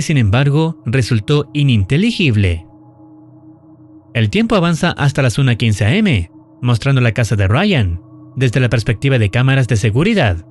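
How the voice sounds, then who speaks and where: A man giving a scripted horror recap narration in a measured, serious storytelling manner. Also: mid-range voice, a little hiss or hum.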